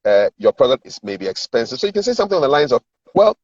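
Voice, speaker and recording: Man, middle-aged, Ghanaian accent, raspy voice, very varied intonation, conversational coaching, dull lo-fi recording. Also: mid-range voice, big pitch swings, fast, darkish tone. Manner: explanatory, acts out sample dialogue, repeats words for emphasis. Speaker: communications and sales coach giving advice. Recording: roomy echo, dull lo-fi sound.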